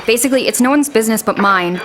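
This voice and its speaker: An actress playing a frank, caring but guarded young village woman in a fantasy audio drama, brisk and naturalistic in delivery. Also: a little high, noisy background, close to an everyday voice. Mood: guarded, sorrowful.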